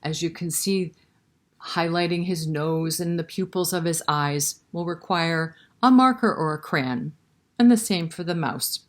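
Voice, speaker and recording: A woman's voice: lowish voice, unhurried. A woman hosting a library storytime, reading a picture book and chatting directly to young children with questions. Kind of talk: children's picture-book read-aloud and chat.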